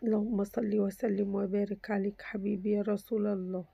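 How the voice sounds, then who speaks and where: A woman with an Egyptian Arabic accent talks her viewers through a simple craft project, her voice hoarse from a cold. She keeps a mellow, level tone and keeps repeating how easy it all is. She is recorded close in a dry room with no echo. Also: medium pitch, level tone, a little fast. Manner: chatty, reassuring, repeats "very easy". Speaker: crafts channel host. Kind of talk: casual craft tutorial.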